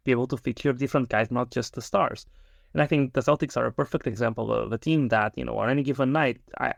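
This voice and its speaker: A male podcast co-host with a gravelly, fry-laden voice at a medium pitch. He talks in long, rambling turns full of fillers as he sets up questions. The sound is dry. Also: dry recording. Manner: rambling, many fillers and restarts. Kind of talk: podcast interview, sports chat.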